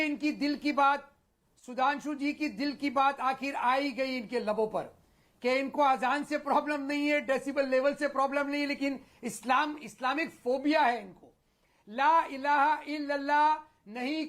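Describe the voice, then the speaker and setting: A man's voice: very high-pitched, fairly bright tone. A man on a television debate panel, insistent and pointed as he rebuts the other side. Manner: insistent, keeps demanding a turn to reply.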